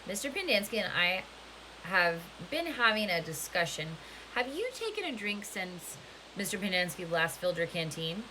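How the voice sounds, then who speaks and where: A woman's voice: fairly low pitch, big pitch swings, moderate pace, pushed and bright, slight background noise, low-quality recording. Woman playing a coolly menacing female camp warden. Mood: coolly polite. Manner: controlled, polite but threatening. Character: soft-spoken, menacing, commanding woman prison-camp warden.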